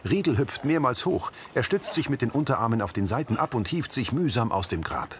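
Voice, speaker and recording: A man's voice: medium pitch, very animated, a little fast, a little hiss or hum. A man reading scripted audio description of scenes as a narrator. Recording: music under the voice.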